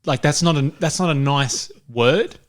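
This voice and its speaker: A man's voice: on the high side, a little slow. A man co-hosting a podcast, chatting casually about a film in a blunt, dryly contrary manner. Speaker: podcast co-host. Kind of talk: casual film review banter.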